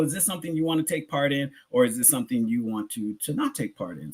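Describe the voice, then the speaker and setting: A man's voice: on the high side, a bit bright. A man giving a public educational lecture in a conversational way, asking rhetorical questions and repeating phrases for emphasis. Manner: conversational, informal address to audience. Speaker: community lecturer.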